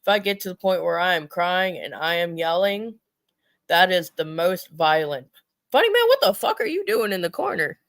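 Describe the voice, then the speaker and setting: A woman's voice: on the low side, big pitch swings, moderate pace, a bit bright, no echo, studio quality. A woman chatting casually as a talk show panelist, blunt and swearing freely.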